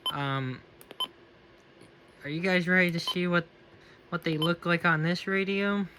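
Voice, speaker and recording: A man's voice: high voice, a little slow. A man giving a casual, unscripted product review and demonstration. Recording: faint background noise.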